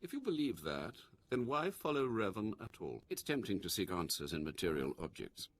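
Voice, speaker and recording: A man playing a courteous, scholarly cult curator and mentor in a video game, measured and wry. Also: lowish voice, very animated. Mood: persuasive, earnest. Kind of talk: scripted video game voice acting.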